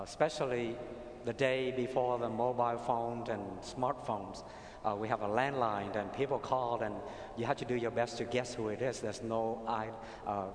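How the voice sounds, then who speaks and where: A middle-aged man with a Vietnamese accent, a priest preaching a sermon in an unhurried storytelling way, with pauses. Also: medium pitch, slight background noise. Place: large cathedral. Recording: echoey cathedral with long reverb.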